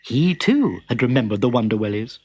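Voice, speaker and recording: A man reading a children's story aloud with lively, expressive narration. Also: mid-range voice, a little fast, slightly rough. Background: background music.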